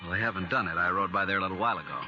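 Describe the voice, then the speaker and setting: An actor in a 1950s radio western, playing a pompous, sneering, hard-hearted creditor who speaks formally. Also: male voice, low voice, very fast, over music, close to an everyday voice. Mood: indignant, sneering. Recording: dull old radio recording.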